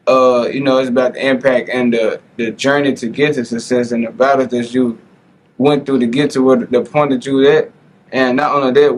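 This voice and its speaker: A man's voice: mid-range voice, slight background noise, low-quality recording. A man hosting a casual podcast interview, earnest and motivational, who keeps saying "bro" and "like.". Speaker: podcast host.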